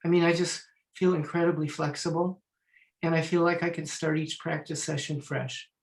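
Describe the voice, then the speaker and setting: A man's voice: on the high side, little pitch movement, moderate pace. Older man on an echoey video call. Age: 60s. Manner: chatty, anecdotal, clears throat. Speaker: guest, musician and Feldenkrais teacher. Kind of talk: online panel conversation.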